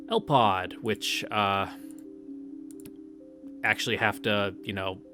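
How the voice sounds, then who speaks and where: A man casually reading a visual novel aloud, with hesitant, wry asides. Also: lowish voice. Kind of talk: casual read-through with commentary. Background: game music, cicadas outside.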